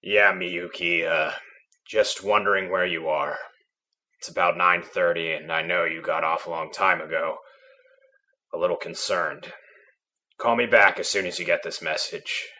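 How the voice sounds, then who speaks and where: A man acting a hot-headed, sarcastic police detective in a radio drama, forceful and blunt. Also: low voice, steady tone, measured pace, heavily acted. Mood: worried.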